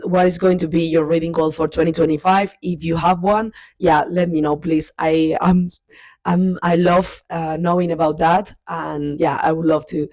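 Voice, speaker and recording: A woman chats casually, still getting over a cold. Her voice sits a little low, and she stops and restarts her sentences often. The sound is close and dry, with no room echo. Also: a little mellow. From Spain. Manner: chatty, with frequent restarts and fillers. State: recovering from a cold. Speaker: book vlogger. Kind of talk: casual chat. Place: family house, indoors.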